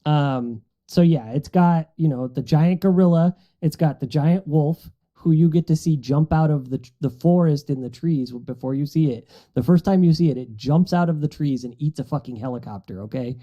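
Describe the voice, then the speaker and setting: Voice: medium pitch, normal speed, few pauses, mellow, dull audio. A podcast co-host talking casually in a group chat.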